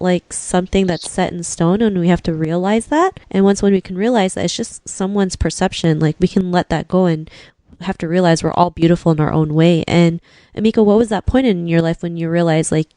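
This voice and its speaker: Low-voiced woman host, dry sound. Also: steady tone, fairly quick, dark tone, no echo. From Philippines. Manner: encouraging, many "you know" fillers. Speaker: podcast host. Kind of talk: podcast interview.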